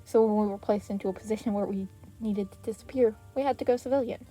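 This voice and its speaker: A woman voicing an earnest, excitable teenage boy hero who gets flustered, in an anime fan story reading. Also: mid-range voice, quick pace, soft background music, close to an everyday voice. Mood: fond, reminiscing. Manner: stammering when flustered.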